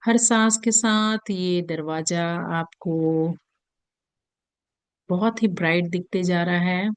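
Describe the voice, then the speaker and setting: An Indian-accented woman guides a meditation in a smooth, clear and fairly low voice. She speaks very slowly and soothingly, leaving long gentle pauses between phrases. The sound is close and dry. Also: dry recording. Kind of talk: guided meditation in Hindi mixed with English.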